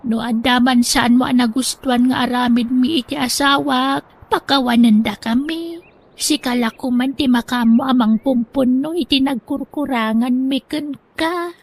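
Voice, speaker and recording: Plain-spoken older Ilocano countrywoman for radio drama; emotional, very high voice. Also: normal speed, breathy edge. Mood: contrite.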